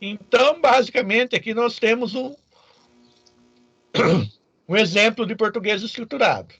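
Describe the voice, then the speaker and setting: Male Brazilian teacher, notably high voice, didactic and informal, question tags; dull lo-fi sound. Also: animated, measured pace, fairly bright tone, breathy edge, dry recording. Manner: didactic, checks in with short tag questions. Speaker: programming teacher. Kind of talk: informal explanatory lecture to students.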